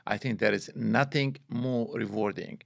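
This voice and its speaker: A man in his sixties with a Bosnian accent, speaking earnestly and haltingly in non-native English as an interview guest. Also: on the low side, creaky, dry recording, studio quality. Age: 60s. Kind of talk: reflective studio interview. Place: small wood-panelled podcast studio. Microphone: close boom-arm studio microphone.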